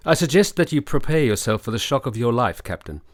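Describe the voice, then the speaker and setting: A learned army doctor in a radio play, recorded close and dry. His medium-pitched voice moves in lively swings, with courteous, formal and theatrical phrasing. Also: fairly quick, no room sound. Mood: grave warning. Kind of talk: period radio drama acting.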